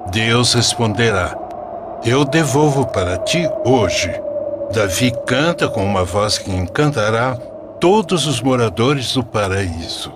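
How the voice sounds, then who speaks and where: A man's voice: mid-range voice, animated, slow pace, background noise. A man narrating a scripted religious story in a solemn storyteller's manner.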